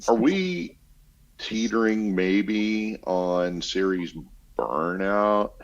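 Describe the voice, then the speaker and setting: Deep-voiced man, muffled audio. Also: expressive, extremely slow. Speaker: podcast panellist. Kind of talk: casual chat on a geek podcast.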